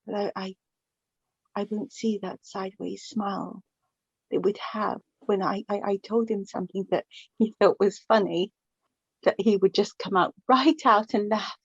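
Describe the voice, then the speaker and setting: A middle-aged woman playing a chatty, wistful widowed mother of the bride in a naturalistic radio play, speaking in a halting, reflective, conversational way. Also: medium pitch, steady tone, husky, dry recording, lo-fi sound. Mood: wistful, grieving.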